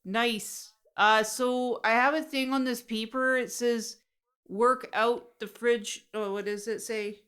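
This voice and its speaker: A woman voicing an oblivious, easygoing new roommate in an improvised audio comedy, halting and repeating things vaguely. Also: on the high side, fairly even intonation, fairly bright tone, smooth. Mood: breezy, oblivious.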